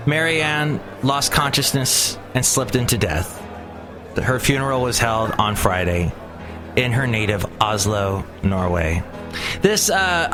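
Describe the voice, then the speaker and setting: A man hosting his own podcast in casual solo talk, rambling and chatty with lots of fillers and restarts. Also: medium pitch. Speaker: podcast host.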